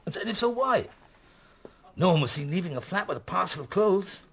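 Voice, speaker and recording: A man's voice: high-pitched, sing-song, a little fast, gravelly, fairly bright tone, full character voice. A man acting in a radio play as a middle-aged, curious police inspector on holiday, with a hesitant, musing manner. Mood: musing, dry. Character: retired-seeming police inspector on holiday, mulling, curious, husband.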